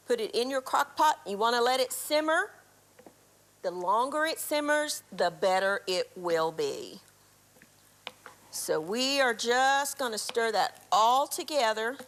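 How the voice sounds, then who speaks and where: A middle-aged woman, raspy from a cold, chattily walking viewers through a recipe on a live TV cooking show. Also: fairly high pitch, a bit bright. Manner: chatty instructional, throat clearing. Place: TV studio kitchen.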